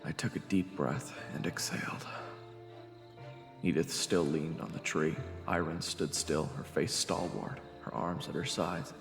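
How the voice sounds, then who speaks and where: A man's voice: fairly even intonation, measured pace, roomy sound, in a large hall. A man playing a grave, wary first-person narrator in a fantasy audio drama. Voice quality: deep, gravelly. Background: music.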